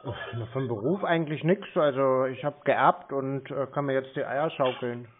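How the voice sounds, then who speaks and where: A man's voice: mid-range voice, moderate pace, a little hiss or hum. A man chatting casually on a call in a dry, colloquial, contrarian way, like a stubborn customer. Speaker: prank caller posing as a customer. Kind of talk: casual phone conversation. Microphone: telephone. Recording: tinny, narrow phone line.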